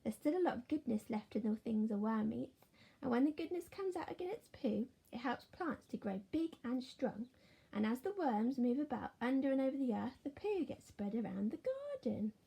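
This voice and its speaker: A gentle woman teacher reading a storybook aloud to young children, slipping into soft whispered asides. Her voice sits a little high, with a gravelly, husky texture and a mellow, dark tone. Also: animated, normal speed, rough recording.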